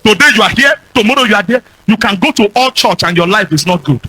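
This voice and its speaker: A Nigerian man with a husky voice and a Nigerian accent, preaching a fiery charismatic sermon as a pastor, exhorting with repeated commands and declarations. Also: high voice, expressive, quick pace, sharp tone, faint music behind, poor audio.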